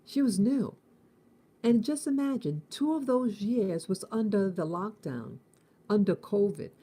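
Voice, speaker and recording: Woman, 50s, from the United States, very mellow dark voice, host of a morning talk, halting and repetitive. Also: medium pitch, expressive, breathy edge. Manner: halting, repeats and restarts, musing. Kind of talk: casual spoken morning reflection.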